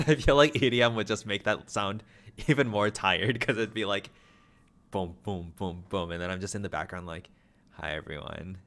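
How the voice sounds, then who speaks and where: A young man streaming a game, chatting casually with viewers in a flat, low-energy way, full of self-deprecating asides. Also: moderate pace. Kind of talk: casual livestream chat.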